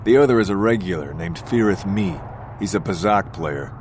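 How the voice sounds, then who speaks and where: A man's voice: deep voice, moderate pace, background noise, lightly acted. A man playing a gossipy, self-justifying hotel keeper in a video game, sounding matter-of-fact and a little prejudiced. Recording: music behind the voice.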